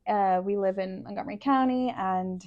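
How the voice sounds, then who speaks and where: A woman's voice: mid-range voice, normal speed, dull audio. A woman in her twenties from El Salvador, co-hosting a casual podcast chat, chatty and agreeable, with many fillers. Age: 20s. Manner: chatty, agreeable, lots of yeah and um. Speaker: podcast co-host.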